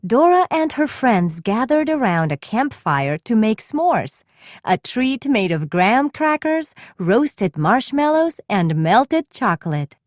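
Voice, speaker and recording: A woman narrating a children's storybook read-aloud in an expressive, deliberate storytelling manner. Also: a little high, no room sound, studio quality.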